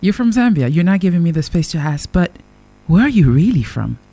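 Female interviewer. Expressive, swinging pitch with a relaxed, warm tone, asking questions conversationally. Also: brisk.

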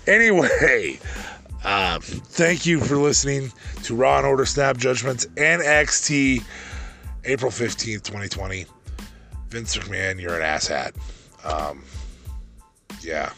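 A man with a deep voice hosting a solo podcast, rambling through a wrestling review with many ums and restarts. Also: slow pace, gravelly, long pauses, bright, forceful tone, soft background music. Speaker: podcast host.

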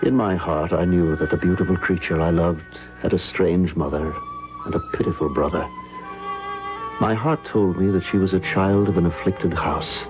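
An actor playing an ardent, insistent lover in an old-time radio drama, speaking in an earnest, florid, impassioned way. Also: male voice, low voice, fairly even intonation, moderate pace, natural voice. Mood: brooding recollection. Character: ardent, insistent male lover recalling a haunted romance. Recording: muffled lo-fi old radio, music behind.

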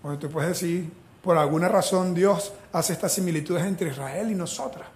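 A man from Venezuela preaching a sermon as pastor, animated and joking as he retells the story. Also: fairly high pitch, moderate pace, roomy sound.

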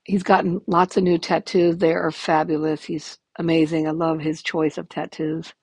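Woman in her fifties, hoarse and raspy, gravelly lowish voice, rambling casual podcast chat, dry close sound. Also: level tone, normal speed, a little mellow, dry recording. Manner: rambling, candid, frequent ums. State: hoarse from illness. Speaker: podcast host. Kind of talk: casual personal update.

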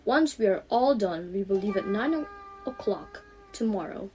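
A teenage woman voicing a kindly father who reasons gently in a storybook. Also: medium pitch, expressive, normal speed, slight background noise, lightly acted, poor audio. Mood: gentle, concerned. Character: kindly, patient, soothing father of a young girl.

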